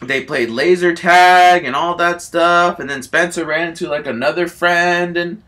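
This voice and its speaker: Male amateur storyteller: bright, slightly high voice, rambling off-the-cuff comic tale, excitable and repetitive. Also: measured pace. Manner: excitable, giggly, repetitive filler phrases like "you know" and "all that stuff". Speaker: amateur storyteller in a homemade video.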